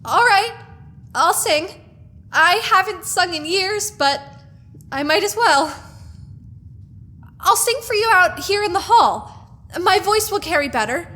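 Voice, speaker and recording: Radio horror heroine acted by a woman: high, slow, theatrical, fearful and unhinged. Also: expressive, bright, forceful tone, full character voice. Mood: strained sweetness. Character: fearful, unhinged woman diarist who killed her brother-in-law. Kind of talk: old-time radio horror drama acting.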